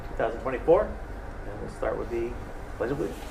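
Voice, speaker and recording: A man chairing a school board meeting, brisk and procedural as he moves through the agenda. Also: mid-range voice, lively intonation, gravelly. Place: meeting room. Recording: distant mic, noise.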